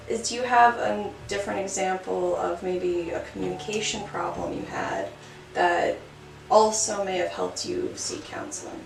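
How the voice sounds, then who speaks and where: A woman hosting an interview, gently affirming and asking quick follow-up questions. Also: medium pitch, creaky, echoey room, far from the mic, slight background noise, low-quality recording. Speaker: host. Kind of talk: interview host.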